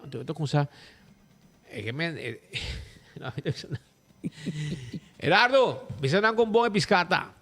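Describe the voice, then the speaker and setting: A man's voice: fairly high pitch, measured pace, long pauses. A man with an Aruban accent hosting a radio talk show, chatting loosely, reading ads and switching between languages. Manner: lively, rambling, mixes languages.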